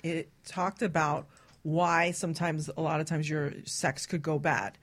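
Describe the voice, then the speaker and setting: A woman's voice: low-pitched, steady tone, a little slow. A woman co-hosting a radio talk show, speaking frankly and casually in a group chat. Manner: frank, explicit.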